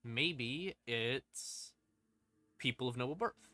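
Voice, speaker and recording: A man's voice: mid-range voice, takes it slowly, sharp tone. A man hosting a livestream, explaining game lore in a casual, informal, sweary and emphatic way. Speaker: live streamer, host. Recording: ambient music behind the voice. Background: creepy ambient music.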